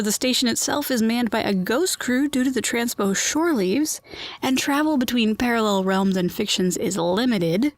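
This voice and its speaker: A woman's voice: medium pitch, no echo, close to an everyday voice. A woman playing a chatty, quirky radio host of a surreal underground city, informal and playful, in a scripted fiction podcast. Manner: informal, playful, given to tangents.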